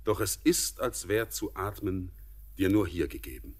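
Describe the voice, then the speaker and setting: An actor playing an earnest young former sailor turned miner in a classic radio play, speaking in a poetic, searching way that turns brusque. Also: male voice, low voice, expressive, measured pace. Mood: dreamy.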